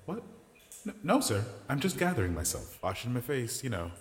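A man's voice: mid-range voice, big pitch swings, moderate pace, slightly rough. A man playing a guilt-ridden, self-doubting young waiter with secret powers in an audio drama, brooding and hesitant. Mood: sheepish, flustered. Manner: brooding, rueful, hesitant with others. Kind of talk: audio drama acting with inner monologue.

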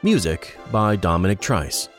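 A man announces scripted credits in a fairly low voice. His intonation is lively and varied, and music plays prominently behind him. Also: a little slow.

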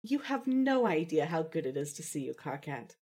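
A woman's voice: low-pitched, sing-song, normal speed, a little mellow, husky, exaggerated voice. A woman playing a wry, articulate, affectionate young woman in a fan fiction audio drama. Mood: fond.

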